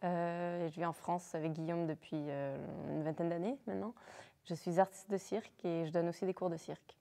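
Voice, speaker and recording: Canadian circus artist, English native, low mellow gravelly voice, halting answers. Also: female voice, steady tone. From English-speaking Canada, near Montreal. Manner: hesitant, many fillers. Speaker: circus performer and teacher. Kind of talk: interview answers.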